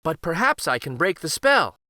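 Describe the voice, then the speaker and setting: Man voicing an earnest young peasant woman, raised pitch. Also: sing-song, moderate pace, sharp tone, no room sound, studio quality. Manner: polite, pleading.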